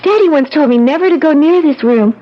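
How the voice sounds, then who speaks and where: A very high-voiced girlish young bride. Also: expressive, brisk. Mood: uneasy. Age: young adult. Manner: girlish, pleading, hesitant. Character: timid, adoring teenage bride, girlish and easily cowed. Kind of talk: old-time radio drama acting. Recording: dull, noisy old radio broadcast.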